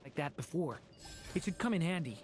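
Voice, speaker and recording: A woman's voice: low-pitched, lively intonation, fairly quick, a little mellow, soft background music. A woman voicing a resolute, thoughtful young dragon boy adventurer in a video game. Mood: earnest, determined. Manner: thoughtful, earnest.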